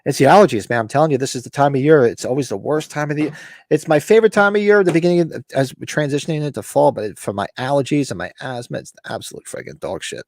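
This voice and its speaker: Man, podcast host, hoarse scratchy throat, gravelly, casual rambling with cursing, dry close mic. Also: mid-range voice, animated, quick pace, a little mellow, no room sound. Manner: rambling, cursing, self-interrupting. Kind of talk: casual chat over beers.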